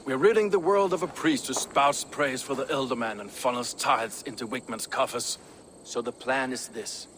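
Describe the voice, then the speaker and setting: An actor playing a proud, ambitious but loyal Viking shipbuilder, speaking in a measured, earnest way for a video game. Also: male voice, medium pitch, a bit bright, slight background noise, lightly acted, rough recording. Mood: frank, proud. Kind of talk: dramatic video game cutscene dialogue.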